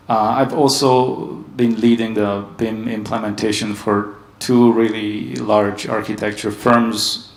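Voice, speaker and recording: A man from Hungary giving a conference panel talk, steady and hesitant with many ums. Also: lowish voice, reverberant. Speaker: panel speaker. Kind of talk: conference presentation.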